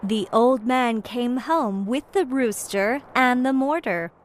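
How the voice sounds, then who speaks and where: A woman narrating a children's fairytale from a script, in clearly phrased storytelling style. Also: a little high, slow pace, noisy background.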